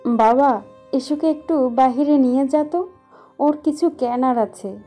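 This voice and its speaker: A woman's voice: high voice, a little slow, mellow. A woman with a Bangladeshi accent playing a caring, insistent middle-aged mother in a romantic audio story. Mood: gently instructing. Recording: music bed behind the voice.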